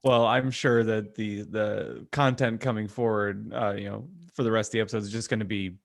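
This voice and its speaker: A man's voice: on the low side, little pitch movement, normal speed, gravelly. A man co-hosting a comedy podcast in casual banter, sarcastic and teasing. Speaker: podcast co-host.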